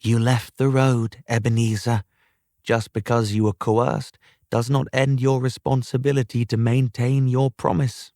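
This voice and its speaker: A man voicing a calm, guiding female spirit in a storybook reading, serene, formal and cryptic. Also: mid-range voice, unhurried, no echo, clean studio sound, close to an everyday voice. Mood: admonishing. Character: calm, guiding ghost of Scrooge's sister.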